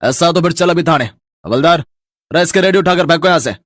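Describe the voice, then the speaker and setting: A man voicing a gruff, corrupt police officer in a cartoon story, brusque and commanding. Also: on the high side, brisk, fairly bright tone, dry recording, studio quality, lightly acted. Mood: angry, commanding. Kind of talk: Hindi cartoon story dubbing.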